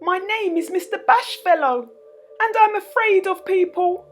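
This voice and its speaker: A woman's voice: very high voice, measured pace, slight background noise, pitched above the performer's own voice. A woman reading a children's storybook, voicing a timid, funny little man who is meek and pleading. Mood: pleading, confessing. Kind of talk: children's storybook read-aloud.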